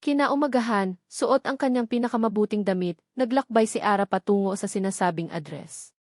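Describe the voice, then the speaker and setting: Lady narrator reading a romance story, mid pitch, super dry sound. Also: fairly quick, a little mellow, dry recording.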